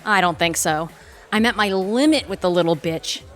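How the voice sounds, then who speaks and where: A woman's voice: on the low side, normal speed, a bit bright, soft background music, lightly acted. An actress playing a sarcastic, impatient, foul-mouthed woman attorney in an audio theatre comedy. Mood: scornful. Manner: sardonic, biting, profane. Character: sharp-tongued, sarcastic, impatient female attorney out drinking.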